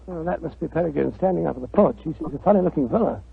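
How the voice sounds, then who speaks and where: An actor playing a grumbling, loyal English gentleman companion to a detective in a 1940s radio drama. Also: a little high, very fast, gravelly. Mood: grumbling, puzzled. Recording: dull, faintly noisy old radio recording.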